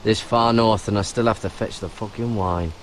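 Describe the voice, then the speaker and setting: Steady terse man-at-arms, played by a man, noisy backdrop. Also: lowish voice, normal speed, natural voice. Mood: terse, patient. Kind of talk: dramatic video game acting.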